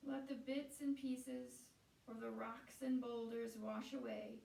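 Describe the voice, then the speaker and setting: A woman guiding a meditation as a teacher, speaking in a soothing, unhurried way with pauses between phrases. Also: a little high, level tone, gravelly, mellow. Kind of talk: guided meditation. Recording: roomy echo, distant from the mic.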